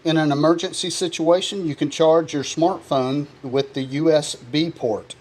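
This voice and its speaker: A man presenting a product review, explaining features one by one in a plain, steady way. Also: on the high side, moderate pace.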